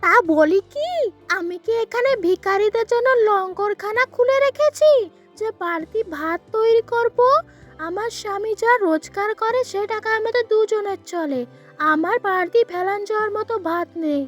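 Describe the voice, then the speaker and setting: A woman's voice: very high-pitched, moderate pace, faint music behind, voice pushed up. A woman voicing a spiteful young daughter-in-law who scolds and mocks. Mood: sneering. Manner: scolding, mocking, sharp.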